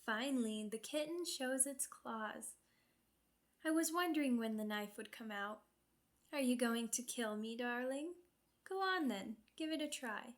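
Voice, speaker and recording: A woman's voice: on the high side, lively intonation, measured pace, long pauses. A woman playing a sadistic, seductive vampire queen in an ASMR roleplay, with a purring, condescending, theatrical delivery. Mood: amused taunting. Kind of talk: ASMR roleplay, scripted villain monologue.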